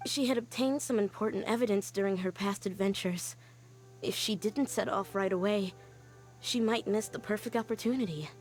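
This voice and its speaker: A woman voicing an earnest young woman scholar in a game, telling her story quietly and sighing often. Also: mid-range voice, normal speed, soft background music, close to an everyday voice, rough recording. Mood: wistful. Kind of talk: game cutscene voice acting.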